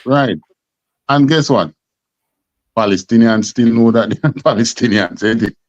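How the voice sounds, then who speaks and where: A man's voice: mid-range voice, measured pace, no room sound. A man with a Jamaican accent teaching a scripture class, emphatic and full of rhetorical questions. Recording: dull lo-fi sound.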